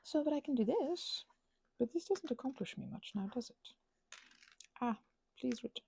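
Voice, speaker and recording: A woman's voice: high voice, expressive, moderate pace, gravelly, dark tone, husky. A woman chatting casually in an ASMR craft ramble, whimsically talking to herself and often drifting into whispers.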